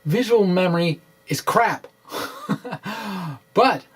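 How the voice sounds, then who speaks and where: A middle-aged man presenting an informal science explainer, with halting, emphatic delivery and wry asides. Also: high-pitched, expressive, breathy edge. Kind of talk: informal dramatic reading of a physics explainer. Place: small indoor room with bookshelves. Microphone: microphone on stand close in front.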